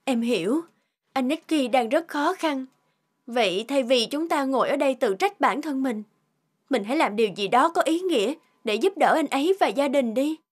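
A woman voicing a gentle, supportive young woman in a cartoon, soothing and caring. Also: sits high, animated, normal speed. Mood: concerned, comforting. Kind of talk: dubbed cartoon acting. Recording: loud music over the voice.

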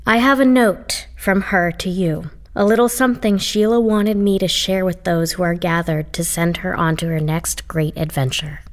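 An actress playing a flustered, polite reverend trying to keep order at a funeral in an audio play. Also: female voice, lowish voice, steady tone, moderate pace, few pauses, close to an everyday voice. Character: nervous female reverend leading a chaotic funeral. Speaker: Reverend Sandy, officiant at the funeral.

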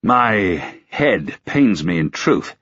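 Man voicing an imperious, sneering medieval duke, husky and animated. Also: medium pitch, normal speed, no room sound, dull audio, voice pushed up. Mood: silken suspicion. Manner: archaic lordly speech, commanding, sardonic. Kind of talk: dramatised audiobook dialogue.

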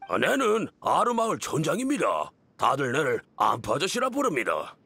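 Folksy high-voiced village chief, Gyeongsang accent. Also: male voice, wildly swooping pitch, moderate pace, gravelly, sharp tone, slightly rough, heavily acted. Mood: apologetic, friendly. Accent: Gyeongsang Korean. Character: folksy, polite older male desert village chief. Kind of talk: video game voice acting.

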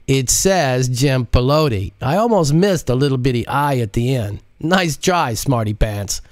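Fiery, tart-tongued woman, put on by a male narrator; high, biting voice, close dry mic. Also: expressive, unhurried, dry recording, lightly acted. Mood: teasing. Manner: voice pitched well up, tart and scolding. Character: sharp-tongued woman archaeologist, teasing and fiery.

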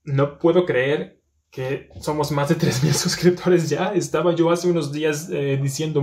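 A young man with a somewhat high-pitched voice talks straight to the camera in a small indoor room. He speaks casually and reflectively, often filling gaps and restarting sentences as he searches for words. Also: darkish tone. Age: young adult. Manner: thinking aloud with many fillers and restarts. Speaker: language teacher and vlogger. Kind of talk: casual vlog talk to camera.

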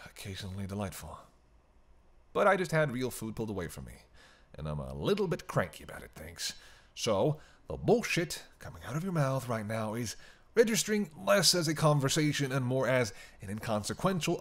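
A man's voice: medium pitch, no echo, natural voice. A man playing a hungry, cranky vampire in a roleplay audio drama, bantering sardonically and intimately with a listener cast as a hunter. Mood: irritated. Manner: sardonic, teasing, dry banter. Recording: steady rain and hiss behind.

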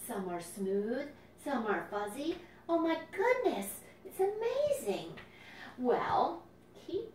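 A woman hosting a children's storytime, explaining nature to young listeners in simple, expressive language. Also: mid-range voice, a little hiss or hum. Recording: roomy echo, distant microphone.

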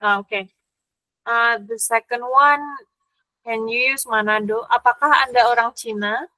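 Soft-spoken Indonesian-accented woman tutor. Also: a little high, steady tone, measured pace, no room sound, low-quality recording. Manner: gentle, hesitant English with Indonesian fillers. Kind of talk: online language lesson. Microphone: laptop video call.

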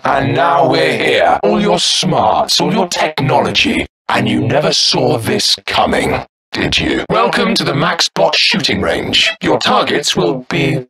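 Game role: vengeful robot arena host, mock-polite, theatrical, deliberate pace, hugely animated. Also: male voice, medium pitch, echoey room, in a large hall, recorded from a distance. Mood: bitter, vengeful, then gleefully menacing. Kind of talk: video game announcer voice lines.

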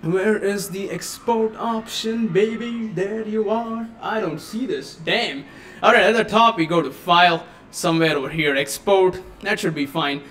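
A man hosting a casual live-stream tech tutorial at his home desk on a studio microphone, chatty and given to excited exclamations. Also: sits high, a little slow, slight background noise. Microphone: USB studio microphone.

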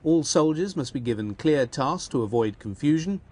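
A man's voice: a little high, expressive, normal speed, a little mellow. A man reading scripted documentary narration in a formal, explanatory way. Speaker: narrator of translated captions.

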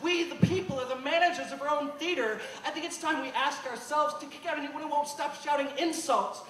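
A man delivers slam poetry in a high, projected voice with emphatic declamation. He is recorded at some distance in an echoey live venue, with clear noise behind him. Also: fairly quick, sharp tone. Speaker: slam poet.

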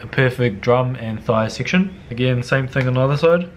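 A young man with a New Zealand accent, talking casually through a cooking tutorial in his home kitchen, slangy and swearing offhand, often dropping to low mutters. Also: lively intonation, normal speed, dull audio, a little hiss or hum. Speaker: cooking vlogger.

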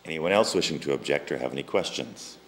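A man's voice: rumbling low, animated, fast talker, fairly bright tone. A man chairing a council hearing as mayor, keeping it brief and procedural. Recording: noisy council chamber mic.

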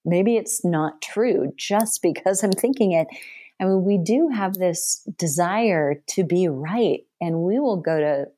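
Mellow-voiced woman host, slightly hoarse. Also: on the low side, unhurried, dry recording, clean studio sound. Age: middle-aged. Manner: animated storyteller, talks straight to listeners. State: slightly losing her voice. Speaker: podcast host. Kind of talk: conversational interview.

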